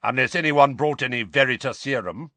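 A man voicing a gruff, irritable old wizard who growls and barks suspiciously in an audiobook story. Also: medium pitch, a little fast, pushed and bright, no room sound, voice pushed up. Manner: barking, suspicious.

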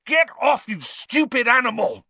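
A man's voice: mid-range voice, big pitch swings, a little slow, fairly bright tone, dry recording. A man voicing a sulky, hot-tempered teenage schoolboy in an audiobook, blunt and indignant. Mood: furious yelling.

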